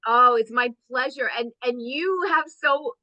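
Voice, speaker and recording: A woman's voice: high voice, measured pace, sharp tone, no echo, highs cut off. A woman hosting an interview, effusive and enthusiastic as she introduces her guest. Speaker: host, business coach.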